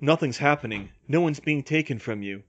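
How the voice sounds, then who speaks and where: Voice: medium pitch, animated, a little fast, muffled recording, close to an everyday voice. A performer playing a reluctant, distressed teenage boy who stammers earnestly. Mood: soothing.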